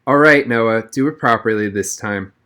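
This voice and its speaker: A man's voice: on the low side, moderate pace, bright, forceful tone, clear, resonant tone. A man voicing a firm, knowing female math teacher in an audiobook story.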